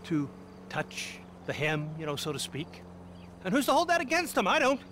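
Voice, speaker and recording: An actor playing a vain, entitled American film star in a satirical video game, pompous, affected and condescending. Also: male voice, sits high, huge pitch swings, normal speed, fairly bright tone, slight background noise, exaggerated voice. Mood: pompous, languid.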